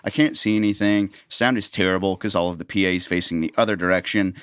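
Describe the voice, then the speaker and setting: A man hosting a podcast, telling relaxed anecdotes and acting out the dialogue in them. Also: deep voice, quick pace, smooth, dry recording. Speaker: podcast host. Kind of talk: casual podcast chat.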